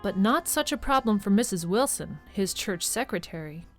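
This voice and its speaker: A woman's voice: mid-range voice, expressive, normal speed, soft background music. A woman narrating a storybook tale for an audio drama, conversationally addressing the listener.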